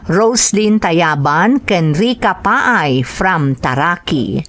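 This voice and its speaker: Ilocano woman host, slow and lively delivery, reads letters for drama. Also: on the low side. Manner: slow, expressive reading. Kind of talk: reading letters and greetings, radio drama.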